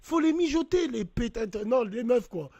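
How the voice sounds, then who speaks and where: Animated high-voiced man giving advice. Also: normal speed, no room sound. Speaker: host. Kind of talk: casual debate over a phone call.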